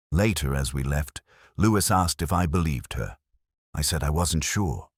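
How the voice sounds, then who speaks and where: A man as a very deep-voiced, brooding detective narrator. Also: no echo, clean studio sound, exaggerated voice. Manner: measured, literary, solemn. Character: brooding, cerebral older male English police detective recounting a case. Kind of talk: scripted first-person mystery narration.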